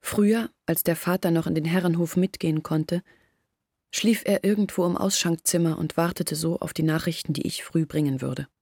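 Earnest young Olga. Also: female voice, low voice, steady tone, moderate pace, a little mellow, no echo, clean studio sound. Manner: earnest confessional telling. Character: young village woman Olga, earnest and weary. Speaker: lead character in radio play. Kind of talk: radio drama acting.

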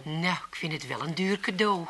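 A woman's voice: lowish voice, normal speed, over loud music, natural voice. Woman as sceptical Amsterdam housewife, roomy sound. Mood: sceptical, curious. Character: plain-spoken Amsterdam housewife, mildly sceptical wife. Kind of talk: 1980s comic radio drama acting.

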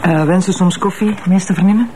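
A woman's voice: lowish voice, fairly quick, noisy background, natural voice. A woman with a Flemish accent playing a strict, formal young deputy prosecutor in a crime drama, speaking with clipped authority. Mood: stern, formal. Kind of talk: acted drama dialogue.

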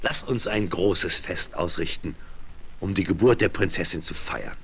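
Dignified king: low, creaky, formal and stately, for a fairy-tale audio drama. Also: unhurried, a bit bright, exaggerated voice. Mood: joyful, stately.

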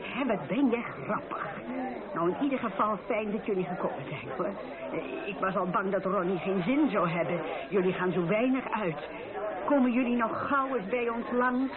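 A woman's voice: medium pitch, normal speed, close to an everyday voice. An actress playing a fussy, well-meaning but tactless middle-aged mother in a radio play, chatty and cajoling. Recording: muffled phone-like band, loud party crowd.

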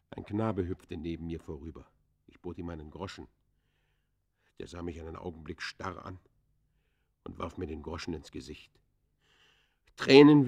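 Embittered ex-convict, low voice, theatrical radio play monologue, hushed to fierce, declamatory. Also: male voice, husky. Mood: bitter, anguished. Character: embittered male ex-convict confessing his descent into crime. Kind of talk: German radio play acting, literary monologue.

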